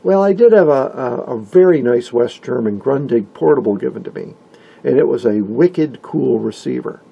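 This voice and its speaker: Gravelly, husky man narrating a hobby video. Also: medium pitch, animated, normal speed, lo-fi sound. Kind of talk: scripted, enthusiastic hobbyist narration with asides.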